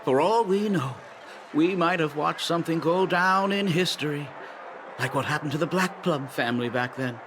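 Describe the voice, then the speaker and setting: A man's voice: a little high, normal speed, background noise, close to an everyday voice. An actor voicing a sly male official who trades rumors in a knowing, wry manner. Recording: game cutscene over loud music.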